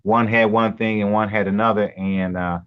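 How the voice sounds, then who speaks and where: A man coach and host talking casually and haltingly, with many fillers and restarts. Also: lowish voice, level tone, clear, resonant tone. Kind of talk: casual live-stream introduction. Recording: muffled lo-fi sound.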